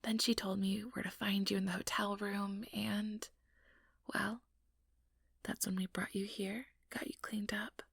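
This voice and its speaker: A woman playing an ambitious, sugary, manipulative vampire in an ASMR roleplay, speaking in a breathy, whispery voice with coaxing, condescending sweetness. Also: medium pitch, level tone, a little slow, no room sound, studio quality. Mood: falsely sympathetic. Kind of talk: ASMR roleplay, soft whispered monologue to listener.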